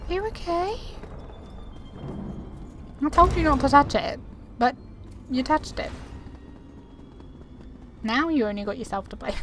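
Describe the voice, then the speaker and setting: A woman's voice: high voice, lively intonation, unhurried, long pauses, a little hiss or hum. A woman gamer chatting casually as she plays and reads game text aloud. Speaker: gamer playing and commenting.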